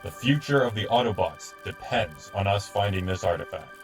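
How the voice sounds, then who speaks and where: A man's voice: low voice, animated, normal speed, far from the mic, faint music behind, poor audio. A man playing a stern, dutiful robot soldier in an audio drama, serious and no-nonsense. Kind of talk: fan audio drama acting.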